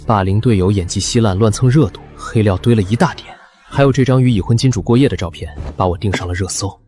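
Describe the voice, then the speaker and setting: An actor playing a lofty, unruffled ancient court sorcerer with formal, archaic speech, in an animated drama. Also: male voice, fairly low pitch, fast, lightly acted. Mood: wry. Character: haughty ancient male court sorcerer stranded in modern times. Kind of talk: dramatic monologue and dialogue for animated story.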